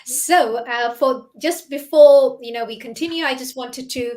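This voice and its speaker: Woman, 40s, from the UK, live stream host, higher voice, chatty tag questions. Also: measured pace, a little mellow. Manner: chatty, frequent "you know" and "isn't it". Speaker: host, doctor and business owner.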